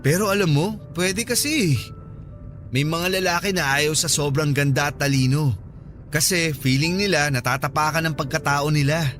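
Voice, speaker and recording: Casting: male actor as lovestruck office friend in radio drama, fairly high voice, earnest, coaxing, clear music bed. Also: moderate pace, fairly bright tone, lightly acted. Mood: flirty. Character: earnest, flirty male coworker smitten with his friend. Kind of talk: Filipino radio drama acting. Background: background music.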